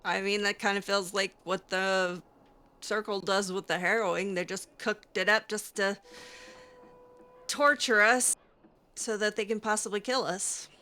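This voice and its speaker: A woman's voice: medium pitch, steady tone, a little slow, sharp tone. A woman doing casual, improvised roleplay commentary over a video game, chatty and playful with a hesitant way of talking. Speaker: gamer roleplaying a character.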